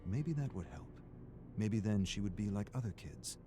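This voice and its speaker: A man narrating a scripted story in a measured, dramatic storytelling manner. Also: on the low side, expressive, background noise. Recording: music under the voice. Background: background music.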